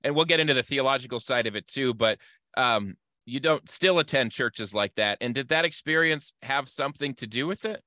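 A man's voice: medium pitch, fairly quick, bright, forceful tone, no room sound. A man in his thirties hosting a podcast in a casual interview chat, close on a studio mic. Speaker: podcast host. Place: indoor office with bookshelves, on a video call. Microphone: close dynamic studio microphone, headphones.

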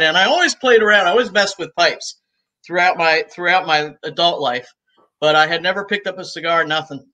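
A woman in her sixties, talking as a guest on a video call from home, chatty and easygoing and quick to laugh. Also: sits low, bright, forceful tone. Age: 60s. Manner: talkative and easygoing, laughs often. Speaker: guest, cigar shop owner. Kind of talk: casual interview chat. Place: room at home.

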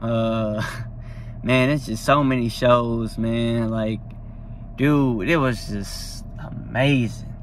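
Young man, casual rambling vlog, phone mic in a car, faint background noise, middle pitch. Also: fairly bright tone. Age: young adult. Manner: rambling, enthusiastic, many fillers. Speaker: vlogger. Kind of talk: casual talk to camera. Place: parked car, indoors. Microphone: phone at arm's length.

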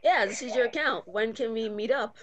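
A woman's voice: fairly high pitch, brisk, heavy background noise. A woman chatting casually and making a prank phone call, with a giggly manner. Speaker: guest co-host, prank caller.

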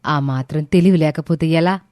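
A woman voicing a sharp-tongued, exasperated mother-in-law who scolds sarcastically in an audio story. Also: low voice, moderate pace, no room sound, clean studio sound, natural voice.

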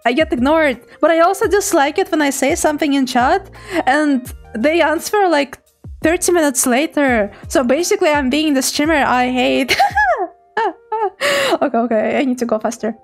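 A young woman streaming as a VTuber, chatting casually with her viewers and reading their messages in a giggly, playful, animated way. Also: high voice, moderate pace, soft background music.

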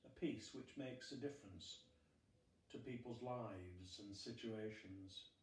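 A middle-aged man giving a short sermon from notes as a chaplain, in a measured, deliberate way with pauses. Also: on the low side. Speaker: school chaplain. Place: small glazed conservatory at home. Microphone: phone camera a few metres away. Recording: roomy, distant phone recording.

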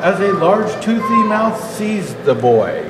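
An older man reading a children's rhyming storybook aloud as Santa Claus, in a measured, expressive delivery. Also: sits high. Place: indoor natural history museum hall. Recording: echoey hall, clearly noisy background.

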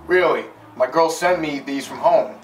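A man's voice: fairly high pitch, moderate pace, close to an everyday voice. Man playing an earnest soldier, slightly distant. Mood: earnest, sheepish. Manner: earnest, deferential, stilted. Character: earnest, obedient young male army trooper. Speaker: trainee in a military training film. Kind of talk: scripted retro instructional film acting. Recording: distant from mic, music under voice. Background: background guitar music.

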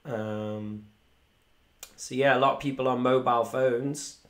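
A man's voice: mid-range voice, steady tone, measured pace, fairly bright tone. A young man, casually chatting to viewers on a live stream from home, reading out comments. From Wales. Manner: chatty, rambling, reads viewer comments aloud. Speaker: musician hosting a live stream. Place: small room indoors at home. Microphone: desk microphone in front.

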